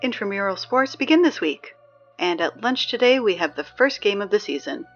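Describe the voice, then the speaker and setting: A young woman playing a wry, peppy teenage girl who reads high school announcements in a chatty, sardonic, emphatic way for a scripted audio drama. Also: animated, normal speed, a bit bright, lo-fi sound, soft background music, natural voice. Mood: peppy, cajoling.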